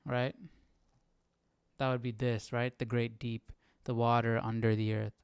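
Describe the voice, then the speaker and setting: A man with a smooth, clear voice leads a casual Bible study. He reads and explains while thinking aloud, often tagging his points with a short check, and the sound is dry. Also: mid-range voice, level tone, measured pace, dry recording. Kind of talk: casual live study commentary, reading verses and explaining.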